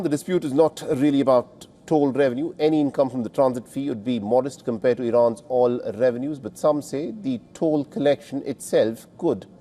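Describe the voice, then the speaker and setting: A man's voice: medium pitch, dark tone. A man reading scripted news narration as a presenter, in a formal, emphatic delivery.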